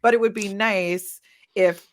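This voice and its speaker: A woman's voice: mid-range voice, fairly even intonation, measured pace. A woman talking casually as a panelist, giving advice in an informal way. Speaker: panelist, audio editor.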